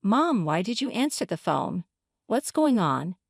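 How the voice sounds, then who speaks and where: A woman's voice: mid-range voice, sing-song, measured pace, creaky, husky, dry recording, clean studio sound, heavily acted. A woman narrator voicing an evasive, defensive husband in a dramatized story, sounding tense.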